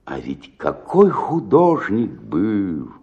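An actor playing a despairing, self-pitying old provincial actor in a radio play, declaiming and then sinking into murmurs. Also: male voice, low-pitched, huge pitch swings, slow pace, lo-fi sound, full character voice. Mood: bitter, disillusioned. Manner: declamatory, swinging between outburst and murmur. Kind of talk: radio play dramatic monologue.

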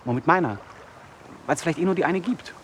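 An actor playing a vulnerable, brooding young outcast in a naturalistic radio drama, speaking in an earnest, halting, searching way. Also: male voice, a little high, expressive, fairly bright tone, slight background noise.

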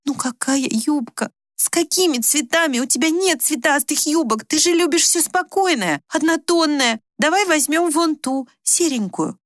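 A woman's voice: high voice, normal speed, a bit bright, dry recording, clean studio sound, pitched above the performer's own voice. A woman voicing an exasperated adult daughter in an audiobook, pleading and reasoning with a difficult mother. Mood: exasperated, coaxing. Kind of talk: dramatised audiobook reading.

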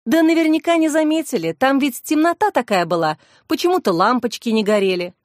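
A defensive society wife in a crime audiobook, acted by a woman reader. Her voice is fairly high and insistent, eager to explain. The recording is dry and close. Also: lively intonation, a little fast, dry recording.

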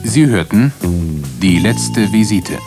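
A man making a formal, scripted radio announcement with measured enunciation. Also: fairly low pitch, lively intonation. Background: music.

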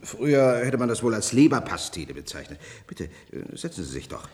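An actor playing a genial, eccentric physics professor in a German radio play, jovial and coaxing, with hesitant asides. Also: male voice, medium pitch, big pitch swings, normal speed, lightly acted. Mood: exuberant, amused. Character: genial, eccentric, unhurried male physics professor, Nobel laureate. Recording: reverberant hall with background noise.

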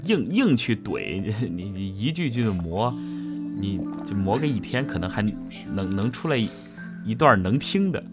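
A man's voice: lowish voice, big pitch swings, unhurried. A man from Henan chatting casually as a host about an audio drama, often repeating and rephrasing for emphasis. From Henan, China. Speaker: host and voice actor. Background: audio drama and music playing.

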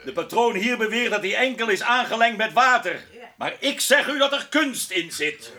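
A man's voice: high voice, expressive, moderate pace, sharp tone. A male actor playing a rowdy, wine-loving grape-picker ringleader in a radio drama, boisterous and mock-solemn. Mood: florid, mock-solemn. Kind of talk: Dutch radio drama acting.

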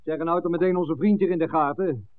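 An actor playing a practical, thoughtful local man in a 1960s radio drama, speaking in a matter-of-fact, deliberate way. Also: fairly high pitch, dry recording, close to an everyday voice. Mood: decisive. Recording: dry, phone-like old radio recording.

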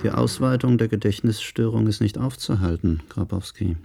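An actor playing a calculating, formal professor with a gravelly voice and deliberate manner in a science-fiction radio drama. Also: male voice, on the low side, dark tone, soft background music. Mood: grave, warning. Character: calculating, cautious male professor at ground control.

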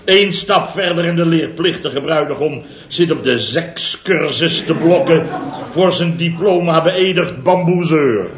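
Actor as indignant, rambling middle-aged boss; roomy, muffled vintage radio with a live crowd. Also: male voice, a little high, measured pace, close to an everyday voice. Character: blustering, easily embarrassed male firm owner. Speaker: boss of a building contractor firm. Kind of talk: radio comedy sketch. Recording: lo-fi old radio with audience laughter.

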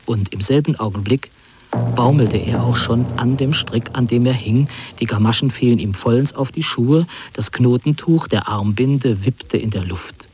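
A middle-aged man narrating an autobiographical radio piece in a measured, precise literary manner. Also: mid-range voice, a little mellow.

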